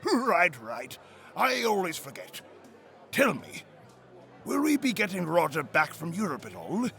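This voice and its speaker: An actor playing an effusive, flattering family friend at a party, gushing theatrically in an audio drama. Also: male voice, high voice, measured pace, gravelly, full character voice. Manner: gushing, theatrical society talk.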